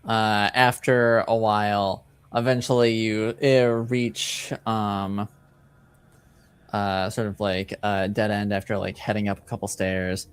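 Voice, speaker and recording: Slow, smooth-voiced man narrating a game. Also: lowish voice, little pitch movement. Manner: hesitant, halting, many filler words. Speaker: game master. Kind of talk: improvised tabletop game narration.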